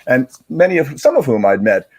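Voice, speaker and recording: A man chatting casually on a livestream, explaining in a halting, rambling way and repeating words as he searches for phrasing. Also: mid-range voice, wildly swooping pitch, darkish tone, slightly rough. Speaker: digital media strategist, guest reader.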